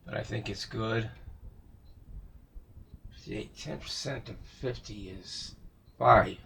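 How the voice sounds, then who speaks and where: A man's voice: fairly low pitch, takes it slowly, vocal fry, a bit bright, a little hiss or hum. A man talking casually through a radio repair at his workbench, rambling and thinking aloud with fillers and self-corrections. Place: home workshop.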